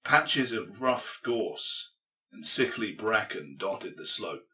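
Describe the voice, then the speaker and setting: A man narrates an audiobook from noticeably back from the microphone. His voice is low and sharply forceful, and he delivers dramatic prose in grave, measured, emphatic phrases. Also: far from the mic, low-quality recording.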